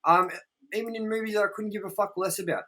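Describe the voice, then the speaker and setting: Bright-voiced man, sweary and emphatic. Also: high-pitched, fairly even intonation, fast. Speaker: review show co-host. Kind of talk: casual movie review chat.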